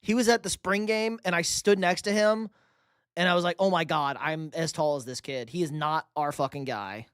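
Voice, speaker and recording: A man's voice: a little high, normal speed, a bit bright, smooth, no room sound, clean studio sound. A young man hosting a live sports stream, reading chat questions and bantering loosely with casual profanity. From New York. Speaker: livestream host reading chat.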